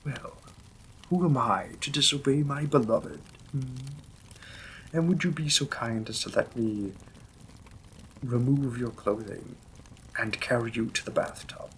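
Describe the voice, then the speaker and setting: A man acting a slow, gravelly demon king lover. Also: mid-range voice, a little hiss or hum, close to an everyday voice, poor audio. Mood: teasing, seductive. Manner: slow, intimate, formal wording, gently amused. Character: feared male demon king, devoted, teasing, softly possessive lover. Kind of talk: romantic fantasy audio roleplay.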